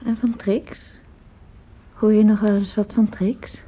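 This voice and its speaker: Radio drama: frail older mother, hesitant, gentle, breathy-rough voice, muffled lo-fi sound. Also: mid-range voice, flat delivery, slow. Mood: gentle, pensive. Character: gentle ailing older mother of grown children, downplaying her illness. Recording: dull lo-fi radio play recording.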